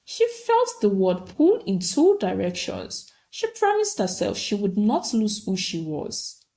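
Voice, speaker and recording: Woman storyteller with very lively pitch. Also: measured pace. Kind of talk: scripted folktale narration.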